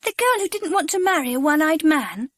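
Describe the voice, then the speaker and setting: Actress as storybook little girl, sweet and earnest. High, very expressive, gushing delivery. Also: fairly quick, no room sound. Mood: remembering.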